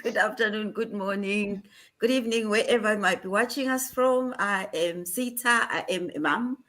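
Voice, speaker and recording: A woman's voice: medium pitch, moderate pace, a bit bright. A woman from Zimbabwe introducing herself as a guest on a video call, upbeat and formal. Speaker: panel guest.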